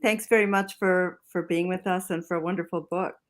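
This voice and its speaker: A woman's voice: fairly low pitch, steady tone, fairly quick. A woman moderating a book talk as interviewer, conversational, with tentative phrasing. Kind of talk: casual interview.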